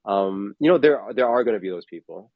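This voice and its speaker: Low voiced guy in his 40s, fitness coach chatting, lots of ums, dry close sound. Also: lively intonation, brisk, dry recording, narrow, tinny audio. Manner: rambling, filler-heavy, restarts often. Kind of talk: casual panel chat.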